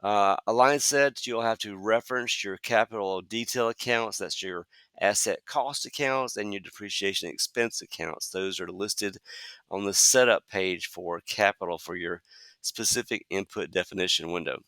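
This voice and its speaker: Slightly hoarse, bright-voiced podcast host man. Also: fairly low pitch, normal speed, dry recording. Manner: conversational, frequent "uh" fillers. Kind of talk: solo podcast monologue.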